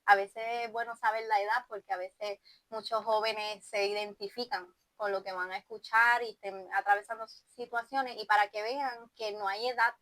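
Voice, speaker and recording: A woman's voice: mid-range voice, bright, forceful tone, dry recording, poor audio. A woman hosting a church testimony interview, encouraging and affirming her guest with frequent "amén". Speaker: interview host.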